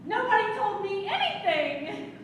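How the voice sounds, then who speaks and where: A gushing, teasing mayor's wife played by a woman in a stage comedy, very high and animated. The voice rings in a very echoey space. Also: unhurried, exaggerated voice. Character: chatty, giggly mayor's wife. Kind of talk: amateur stage comedy acting. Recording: very echoey stage, distant mic, noisy.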